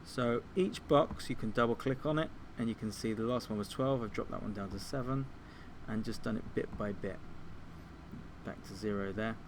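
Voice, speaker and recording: A man giving an informal software tutorial, explaining things step by step like a teacher. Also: on the low side, normal speed, slight background noise. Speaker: music technology teacher.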